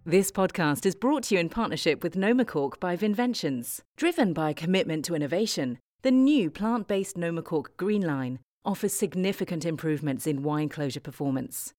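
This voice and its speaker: A woman's voice: lowish voice, lively intonation, normal speed, hardly any pauses, a little mellow, no echo, clean studio sound, soft background music. A woman giving a polished, scripted sponsor announcement. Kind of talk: scripted ad read.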